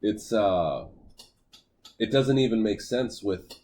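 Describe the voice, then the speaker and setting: A middle-aged man with a deep voice, co-hosting a casual podcast chat, rambling and halting with many fillers and restarts. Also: animated, dark tone. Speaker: podcast co-host.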